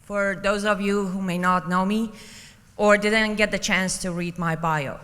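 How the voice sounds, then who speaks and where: Woman from iran, native persian speaker, giving testimony at a conference, sharp voice, long pauses, hall reverb. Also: mid-range voice, steady tone, smooth. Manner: deliberate, emphatic, with dramatic pauses. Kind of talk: personal testimony to an audience. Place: conference hall, public address.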